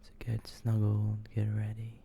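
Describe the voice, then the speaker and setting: Hushed, very monotone man doing ASMR. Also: on the low side, creaky, a little hiss or hum. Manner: hushed, slow, drawn-out delivery. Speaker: ASMR video host reading short horror stories. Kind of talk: ASMR, softly whispered and murmured reading.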